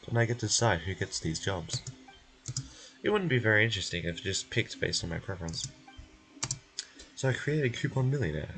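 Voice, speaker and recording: A man's voice: mid-range voice, natural voice, rough recording. Man playing an easygoing, unbothered guard. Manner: casual, unbothered. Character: easygoing male prison guard running a betting game.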